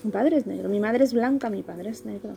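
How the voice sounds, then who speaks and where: A middle-aged woman from Peru, with a Peruvian accent mixed with Spanish ones, telling stories in a casual interview, lively, swearing freely and laughing often. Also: medium pitch, a little fast, gravelly, faint music behind. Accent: Peruvian Spanish mixed with Andalusian and Madrid Spanish. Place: Seville, Spain.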